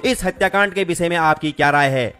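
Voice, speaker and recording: A man's voice: on the high side, fairly quick. A man reading a scripted true-crime story, addressing listeners directly as a storyteller. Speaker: narrator of a crime story channel. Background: music behind the voice.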